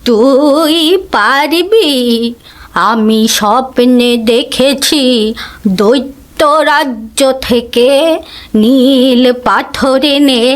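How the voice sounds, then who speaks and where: A woman playing a frail, dying old grandmother in a fairy-tale cartoon, loving and anxious, pausing between phrases. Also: sits high. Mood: earnest, urging.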